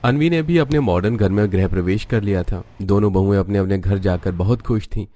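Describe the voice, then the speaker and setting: Guy narrating a cartoon story, lowish dark mellow voice. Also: a little fast.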